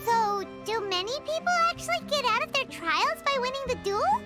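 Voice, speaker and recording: Super high squeaky little fairy sidekick girl, cheeky n excitable, game dialogue w loud music behind. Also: moderate pace. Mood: curious, teasing. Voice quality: squeaky, childlike. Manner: exclamatory, refers to herself in third person. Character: tiny floating fairy companion, childlike girl, cheeky and excitable. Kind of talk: video game character dialogue.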